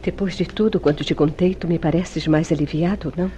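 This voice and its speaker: Mellow, anguished, insistent woman, played by an actress. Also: sits low, steady tone, fairly quick, soft background music, lightly acted. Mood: suspicious. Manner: theatrical, formal, pleading and insistent. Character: Gilda, delicate, anguished woman pressing her lover. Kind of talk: old-fashioned radio soap opera acting.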